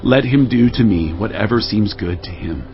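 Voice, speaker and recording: A man's voice: fairly low pitch, animated, moderate pace, natural voice. A man playing a grave, humble king of ancient Israel in an audio Bible drama, earnest and authoritative. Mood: resigned, humble. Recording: dull lo-fi audio with background music.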